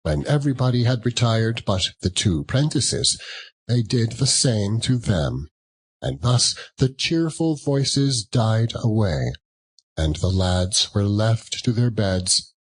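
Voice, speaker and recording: A man narrating a classic story as an audiobook, in an expressive, deliberate and theatrical style. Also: lowish voice, breathy edge.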